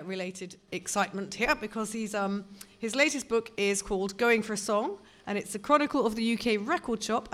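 A woman compering a live book event, introducing readers with deadpan jokes. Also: on the high side.

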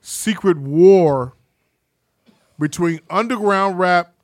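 A man's voice: sits high, takes it slowly, no room sound, studio quality. A man in his fifties from Chicago's South Side, co-hosting a podcast in casual chat, often stumbling and restarting his sentences. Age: 50s. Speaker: podcast co-host.

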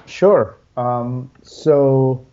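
A young man from Iraq, an interview guest telling his life story in a halting way with many restarts. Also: mid-range voice, dark tone, muffled recording.

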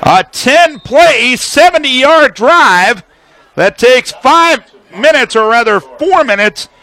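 A man's voice: very high voice, pushed and bright. An American man calling live football play-by-play for radio, describing formations and action in a detailed running commentary.

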